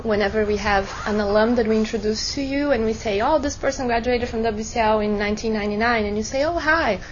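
A woman's voice: medium pitch, lo-fi sound, a little hiss or hum. A woman instructor leading a classroom workshop, encouraging students and asking rhetorical questions. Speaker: law school instructor.

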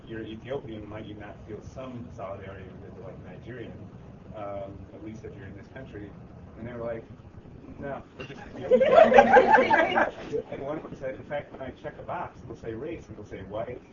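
A man who grew up in Michigan, a law professor, telling a wry personal story to a discussion group and acting out others' lines. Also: fairly low pitch. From Michigan, USA. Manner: wry, laughing, quoting others in dialogue. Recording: distant dull mic with loud noise.

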